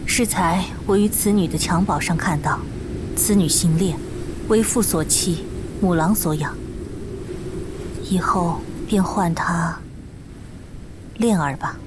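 A woman's voice: on the low side, background noise. A woman playing a composed, commanding martial-arts master in a Chinese period audio drama, speaking formally. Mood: solemn. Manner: solemn, measured, formal.

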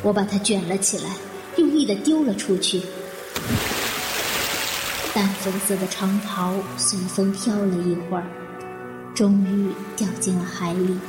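A woman acting a vengeful, resolute woman who narrates a mystery radio drama in a measured, deliberate way. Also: medium pitch, close to an everyday voice. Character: vengeful, resolute woman plotting against her would-be killer. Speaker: voice actor in an amateur audio drama. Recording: echoey, long reverb, music behind. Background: background music.

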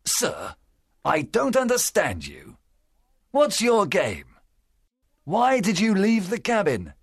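Wily pirate leader, acted by a male narrator: loud, wheedling, rough-edged, very animated audiobook delivery. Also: high voice, slow, dry recording, clean studio sound, pitched above the performer's own voice, heavily acted. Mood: agitated questioning. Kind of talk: audiobook character acting.

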